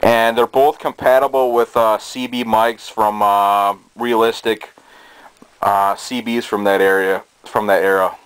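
A man giving a casual show-and-tell in a halting, hesitant way, recorded indoors on a handheld camera's microphone. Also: fairly low pitch, clean tone, poor audio. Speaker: hobbyist presenter. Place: small cluttered bedroom indoors. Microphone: handheld camera's built-in microphone. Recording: steady noise, amateur camera sound.

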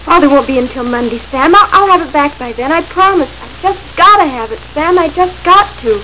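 Teenage girl, 1940s radio drama: high voice, breathless, anxious pleading, faintly hissy old recording. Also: expressive, a little fast, bright, forceful tone, low-quality recording. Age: young adult. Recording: hissy old film soundtrack.

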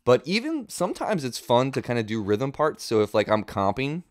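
A man's voice: medium pitch, big pitch swings, moderate pace, dry recording. A man in his thirties giving a casual, informal guitar gear demo, thinking aloud as he explains, close on a studio mic. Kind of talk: casual instructional chat. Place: small home studio room. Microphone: close studio mic on boom arm.

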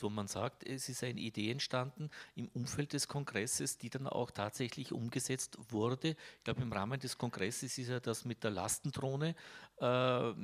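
Formal male panel moderator. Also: medium pitch. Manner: formal, polite. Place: conference hall with audience. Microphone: handheld mic.

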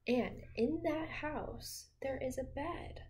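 A woman in her twenties reading a picture book aloud to young children in a gentle, expressive teacher's manner. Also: high-pitched. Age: 20s. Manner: slow, gentle, expressive, addressing young listeners with questions. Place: small home room with windows, indoors.

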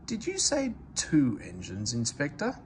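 A man's voice: medium pitch, huge pitch swings, a little slow, slight background noise, pitched above the performer's own voice. A man voicing a stern, authoritative railway controller in a storybook, formal and clipped. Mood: commanding.

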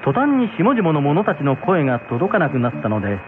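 Phone-like recording of a lively male storyteller. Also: fairly high pitch, brisk, crowd noise. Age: middle-aged. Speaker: storyteller and host. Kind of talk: scripted folk tale narration. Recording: muffled phone-like sound, background music.

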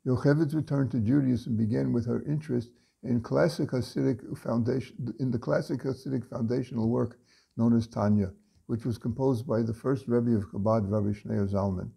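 Older man, gravelly dark storyteller voice. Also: mid-range voice, steady tone. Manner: unhurried, with frequent "uh" and restarts. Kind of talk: reading a story aloud. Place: home study lined with bookshelves.

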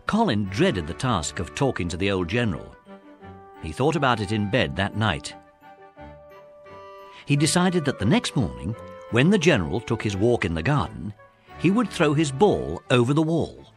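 A man's voice: on the low side, very animated, normal speed, long pauses, soft background music. A man narrating a children's adventure story in a scripted storybook style. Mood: storytelling.

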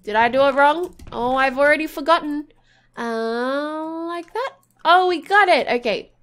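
A woman's voice: high voice, unhurried, a bit bright. A woman hosting a gaming channel, chatting bubbly and casually through her gameplay while sniffly with a cold, with many ums and little gasps.

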